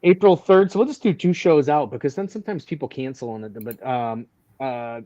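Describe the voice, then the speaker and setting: A man's voice: mid-range voice, brisk, warm tone, dry recording. A man co-hosting a casual barbecue live stream, rambling and joking as he repeats phrases and makes announcements. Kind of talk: casual banter and show announcements. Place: video call on a live stream. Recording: slightly dull lo-fi stream audio.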